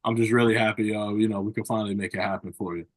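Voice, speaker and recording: A man's voice: fairly low pitch, fairly even intonation, racing. A man hosting a sports interview show, asking long rambling questions with many repeated phrases and "you know" fillers. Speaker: podcast host.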